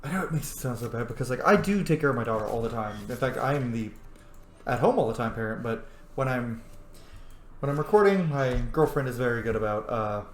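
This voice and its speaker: A man's voice: mid-range voice, animated, a little fast. A man giving casual, profane, joking commentary while playing a video game. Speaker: gameplay commentator. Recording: roomy room with faint noise.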